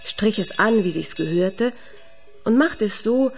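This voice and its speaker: A woman narrator telling a fairy tale with a measured storyteller delivery. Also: medium pitch, lively intonation, vocal fry, soft background music.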